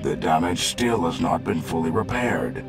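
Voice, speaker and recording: A man's voice: moderate pace, music in the background. A man voicing a solemn, idealistic male robot leader in a fan comic dub, formal and earnest. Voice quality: deep gravelly.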